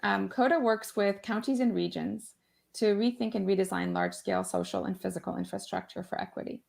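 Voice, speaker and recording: A woman's voice: medium pitch, fairly even intonation, a little fast, vocal fry, darkish tone. A woman hosting an interview on a video call, upbeat and loose in her phrasing, often laughing. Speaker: host. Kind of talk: conversational interview.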